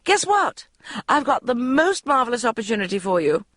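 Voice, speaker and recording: Pushy mum, gushing and cooing, high and swooping; a woman reader doing the voice. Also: big pitch swings, normal speed, fairly bright tone, no room sound, studio quality. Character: gushing pushy middle-aged mother, theatrical.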